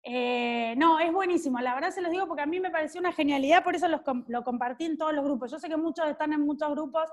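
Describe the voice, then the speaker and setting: An Argentine woman in her forties teaching a law class over a video call, high-voiced and chatty. She breaks off to tease students by name between points. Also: quick pace, few pauses. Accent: Argentine Spanish. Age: 40s. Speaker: law lecturer. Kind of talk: online class lecture.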